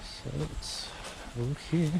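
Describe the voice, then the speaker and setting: Slow-talking man narrating an outdoor walk. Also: fairly high pitch, vocal fry. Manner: chatty, muses aloud while exploring. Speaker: travel vlogger. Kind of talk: casual walking commentary. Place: outdoors in rural countryside. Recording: outdoor camera audio with noise. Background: wind and outdoor ambience.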